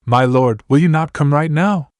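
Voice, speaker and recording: A man voicing a hurried male guardsman who stammers and defers to his lord. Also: on the high side, no echo, studio quality, voice pushed up, natural voice. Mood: deferential. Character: urgent young male guardsman, breathless.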